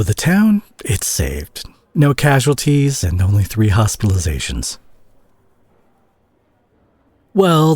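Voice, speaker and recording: Man acting a weary, husky, low-voiced superhero. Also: no room sound, full character voice. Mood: gentle, reassuring. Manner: hushed, halting, sighing, turning exasperated. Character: weary, worried male superhero at a teammate's hospital bedside. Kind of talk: intimate audio roleplay addressed to the listener.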